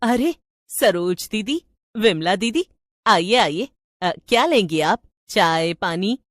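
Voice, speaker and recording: A woman's voice: medium pitch, lively intonation, takes it slowly, no echo, clean studio sound. A woman voicing a hospitable middle-aged village mother in a storybook tale, eager and deferential. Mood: welcoming.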